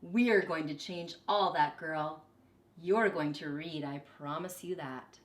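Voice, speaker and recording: A woman voicing a kind, gentle male schoolteacher in a children's picture book, speaking earnestly and reassuringly. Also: sits low, slow. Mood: tender, reassuring.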